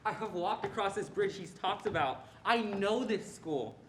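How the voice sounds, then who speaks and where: A man's voice: high-pitched, animated, unhurried. A young man, a student narrator reciting scripted readers theatre lines. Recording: echoey room, faint background noise.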